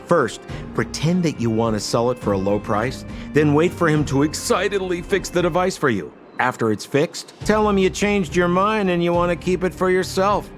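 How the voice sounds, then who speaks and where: An actor playing a smooth-talking young male hustler in a video game, with showy, sly salesman patter. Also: a little high, moderate pace, faint music behind, natural voice. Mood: sly, confiding. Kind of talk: English video game voice acting.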